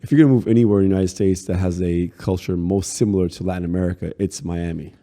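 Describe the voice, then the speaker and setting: A male podcast host chats casually and keeps asking quick follow-up questions. His voice is low and very dark and mellow. A long hall reverb surrounds it. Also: fairly even intonation. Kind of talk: casual interview conversation.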